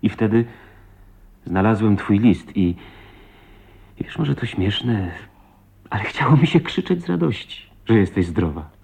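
Husky, low-voiced ardent man in radio drama. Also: expressive, darkish tone, exaggerated voice. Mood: fervent confession. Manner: impassioned, emotional, halting delivery. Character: ardent proud man pleading his love to his wife. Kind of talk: 1980s Polish radio drama acting. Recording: dull lo-fi radio play recording.

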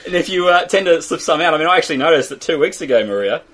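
A man's voice: a little high, animated, very fast, a bit bright, a little hiss or hum. An Australian man co-hosting a casual podcast, stumbling and repeating words as he talks. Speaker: podcast co-host.

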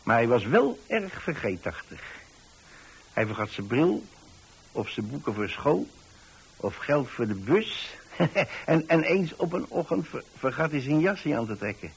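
A man's voice: medium pitch, sing-song, measured pace, creaky, breathy edge, exaggerated voice, rough recording. An actor playing a kindly older landlord in a radio monologue, chatty and hesitant as he rambles along. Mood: amused. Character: kindly rambling old pensioner landlord, widower-like homebody.

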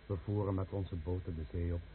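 A man's voice: sits low, fairly even intonation, brisk, close to an everyday voice. An actor playing a grave, fatalistic man from a doomed fishing village, giving terse, sombre lines in a radio drama. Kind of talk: radio drama ensemble acting. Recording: noisy narrow-band old radio recording.

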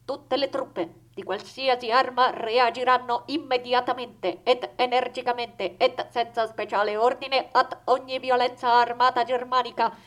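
A woman's voice: on the high side, flat delivery, measured pace, few pauses, fairly bright tone. A woman playing an officious military command reading a formal, bureaucratic radio dispatch on stage.

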